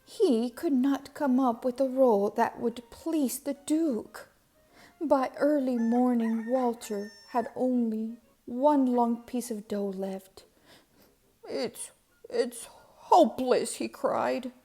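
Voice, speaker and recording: A woman reading a children's storybook aloud with expressive, deliberate emphasis on key words. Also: on the high side. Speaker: storybook reader.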